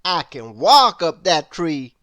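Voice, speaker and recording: A woman voicing a confident male storybook oddball, loud and emphatic. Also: sits low, very animated, slow pace, full character voice. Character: confident, modest Mr Men fantasy character, male.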